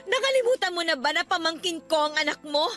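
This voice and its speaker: A woman's voice: very high voice, sharp tone, exaggerated voice. A woman playing a defiant, pleading younger sister in a radio drama, protesting indignantly. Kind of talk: Tagalog radio drama acting.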